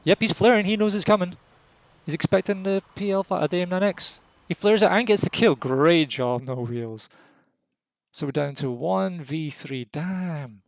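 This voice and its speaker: A Scottish man giving live, casual, excitable commentary on a competitive flight game match, voice jumping up at the big moments. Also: sits high, normal speed, creaky, no room sound. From Scotland. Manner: excitable, rises sharply at kills; casual and jokey.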